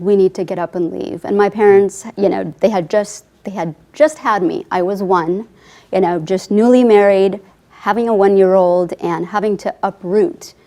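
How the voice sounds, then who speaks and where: A woman's voice: mid-range voice, mellow. A woman in her forties, born in Afghanistan with Farsi as her first language, earnestly telling her life story as an author guest. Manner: earnest, heartfelt, often says "I mean". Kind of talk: interview storytelling.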